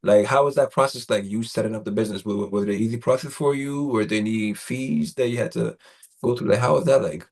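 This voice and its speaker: Man, low voice, casual host, halting questions, many fillers. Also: a little mellow. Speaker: interview host.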